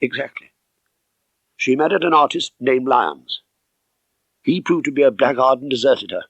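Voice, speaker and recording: A man's voice: medium pitch, expressive, normal speed, fairly bright tone, dry recording, highs cut off. A man voicing a genial country doctor in an audiobook, thoughtful and conversational as he passes on local knowledge. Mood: musing, gossipy. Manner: musing, conversational. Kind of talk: audiobook character dialogue.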